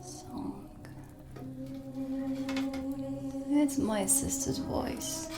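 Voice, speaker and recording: A woman playing a mysterious, haughty dark witch who reads tarot, speaking in a deliberate, theatrical whisper for a cinematic ASMR roleplay. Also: sits high, exaggerated voice. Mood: suspicious, intense. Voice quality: whispery, creaky. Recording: music under the whispered voice. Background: dark ambient music.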